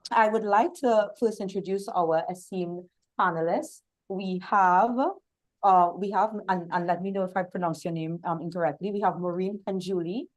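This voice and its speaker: Trinidadian woman, panel moderator, lowish voice, formal introductions. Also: poor audio. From Trinidad and Tobago. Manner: warm formal introductions with fillers. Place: outdoor hot venue, hybrid session.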